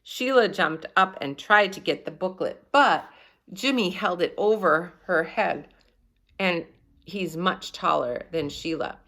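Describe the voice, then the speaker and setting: A woman reading a children's story aloud in an animated, expressive storytelling manner. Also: lowish voice, a little slow. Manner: animated, acts out the dialogue. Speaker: storybook reader.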